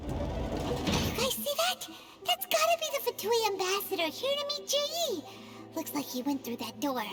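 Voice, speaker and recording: A tiny childlike fairy sidekick in a video game. The voice is extremely high and bouncy, eager and squeaky, over prominent music. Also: female voice, sing-song, moderate pace. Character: tiny excitable childlike female fairy companion.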